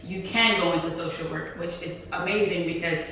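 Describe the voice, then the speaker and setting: A woman from Haiti who grew up speaking Creole, guest in a relaxed interview, speaking softly and laughing easily. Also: lowish voice, a little fast, gravelly, a little hiss or hum. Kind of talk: casual interview conversation. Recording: echoey room, mic far away.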